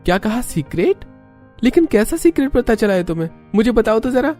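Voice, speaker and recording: A woman voicing a calm, reassuring young husband in a cartoon story. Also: fairly high pitch, brisk, faint music behind. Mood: calm, curious. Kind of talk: Hindi cartoon story character acting.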